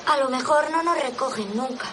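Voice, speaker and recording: Worried castaway boy done by a woman, high voice, anxious, film clip with loud outdoor ambience. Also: a little fast, lightly acted. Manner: anxious, sincere, quietly defensive. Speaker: dub actor in a film clip. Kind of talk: dubbed film dialogue. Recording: film soundtrack with clear outdoor ambience noise.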